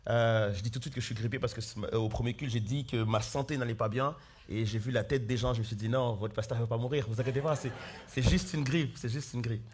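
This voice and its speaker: A man preaching a sermon as pastor, hoarse with flu, earnestly calling out questions to his congregation. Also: mid-range voice, fairly even intonation, quick pace, slight background noise. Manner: earnest, calls out questions to the congregation, many fillers and restarts. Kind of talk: sermon with opening prayer. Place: church hall.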